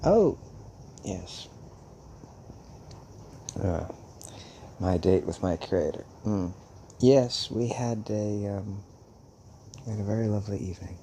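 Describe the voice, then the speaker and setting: Slow, deep old male vampire played by a man. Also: dark tone, a little hiss or hum. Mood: musing, reflective. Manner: hesitant, musing, speaks in pauses. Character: calm, fatherly old male vampire mentor. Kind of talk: intimate audio roleplay addressed to listener.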